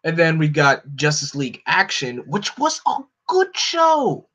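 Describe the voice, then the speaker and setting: A man hosting a casual live stream, talking in an opinionated, animated way that jumps up in pitch when he gets excited. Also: a little slow. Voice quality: no regional accent. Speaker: streamer host. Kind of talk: casual chat to a live audience. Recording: dull lo-fi home stream audio.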